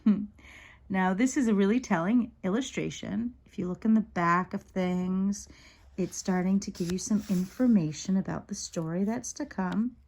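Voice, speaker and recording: A woman reading a children's book aloud in an expressive storytelling style, acting out the dialogue as she goes. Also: medium pitch, moderate pace.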